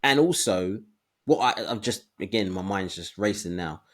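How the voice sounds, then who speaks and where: A man's voice: fairly low pitch, lively intonation, moderate pace, fairly bright tone. A man co-hosting a casual podcast discussion, thinking aloud hesitantly in broken phrases. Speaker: podcast co-host.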